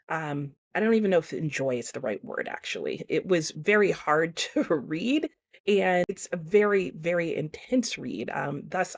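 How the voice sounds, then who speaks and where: A middle-aged woman giving a casual book review in a reflective, rambling way, often correcting herself. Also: low-pitched.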